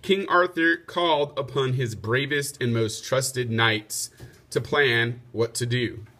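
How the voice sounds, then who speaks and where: A man's voice: mid-range voice, slow pace, pushed and bright. A man narrating a children's storybook aloud, like a teacher reading to pupils.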